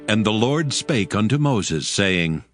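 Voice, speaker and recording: A man narrating the chapter introductions in a slow, deliberate way. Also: lowish voice, animated, faint music behind. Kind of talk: scripted narration.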